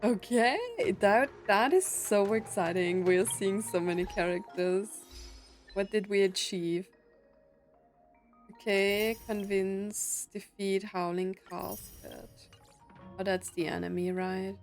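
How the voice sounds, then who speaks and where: A woman's voice: medium pitch, steady tone, slow, faint music behind. A woman streamer giving casual commentary on a game playthrough, musing aloud and reacting in short asides. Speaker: gaming streamer.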